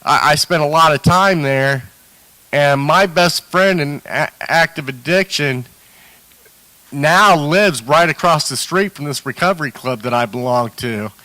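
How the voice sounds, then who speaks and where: A man with a bright, forceful voice speaks in a dry, close sound. He tells his recovery story candidly, stumbling and restarting often. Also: fairly high pitch, a little slow, dry recording, poor audio. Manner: hesitant, many restarts and fillers. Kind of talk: candid personal testimony.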